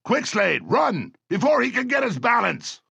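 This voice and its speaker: An actor playing a gruff, bossy gangster boss who barks in streetwise comic-book melodrama. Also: male voice, very high voice, very animated, a little slow, no echo, highs cut off, full character voice. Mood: panicked, barking. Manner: barking, streetwise. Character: gruff, bossy male crime boss villain. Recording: loud music under the voice.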